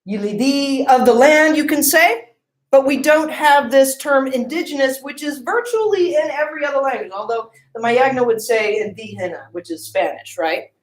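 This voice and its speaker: A woman's voice: high-pitched, normal speed, roomy sound, rough recording. A woman giving a classroom lecture, often asking the class questions and checking in with "okay?" and "right?".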